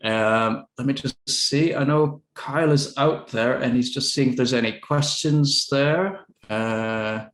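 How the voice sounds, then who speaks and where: A man's voice: medium pitch, takes it slowly, hardly any pauses, fairly bright tone, reverberant. A man moderating a book talk, modestly reading an English translation aloud and asking questions. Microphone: video call.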